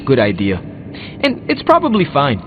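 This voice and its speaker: Wary young mechanic hero, muffled sound. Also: male voice, mid-range voice, huge pitch swings, measured pace. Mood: easing up. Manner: informal, sceptical, easygoing. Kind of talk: video game dialogue acting. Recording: muffled band-limited game audio, music and noise.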